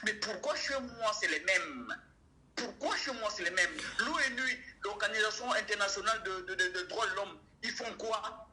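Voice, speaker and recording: A man's voice: high-pitched, normal speed, sharp tone, slight background noise, rough recording. A young man calling in to a debate show, arguing emphatically and firing off rhetorical questions. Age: young adult. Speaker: caller. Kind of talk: call-in debate.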